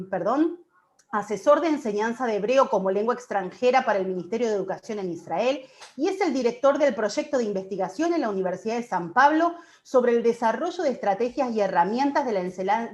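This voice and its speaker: A woman with an Argentine Spanish accent hosts an interview. She asks her questions in a friendly, lively way. Her voice sits a little above the usual pitch. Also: a little fast. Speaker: host. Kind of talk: interview hosting.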